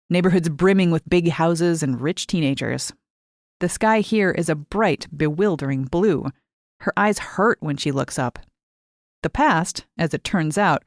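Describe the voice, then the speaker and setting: A woman reading short fiction as a scripted narration, measured and clearly articulated. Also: on the low side, no echo, studio quality. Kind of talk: scripted audiobook-style narration.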